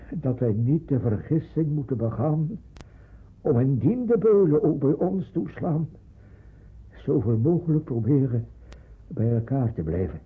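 Muffled radio play, solemn male family patriarch, very husky, slow, resolute. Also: on the high side, full character voice. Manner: measured, solemn. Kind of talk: radio drama acting. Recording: muffled narrow-band radio recording.

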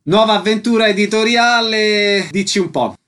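A man's voice: sits high, moderate pace, a bit bright. A man hosting a radio interview, presenting guests and asking questions. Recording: faint background hum.

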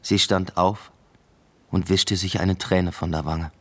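A man's voice: low voice, steady tone, slow. An actor playing a brooding first-person narrator in a radio drama, telling of his collapsing life in a subdued, matter-of-fact, introspective way. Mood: subdued, reflective.

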